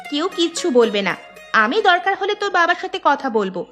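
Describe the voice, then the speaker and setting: Woman voicing a high-pitched, bold village girl. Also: big pitch swings, fairly quick, bright, forceful tone, soft background music, full character voice. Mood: bold, reassuring. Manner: insistent, reassuring. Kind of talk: cartoon voice acting.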